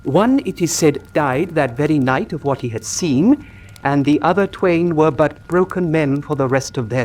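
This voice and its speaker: An actor playing an earnest country doctor who tells a grim tale gravely and articulately in a radio drama. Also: male voice, medium pitch, a little hiss or hum, lightly acted. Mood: grave, dramatic.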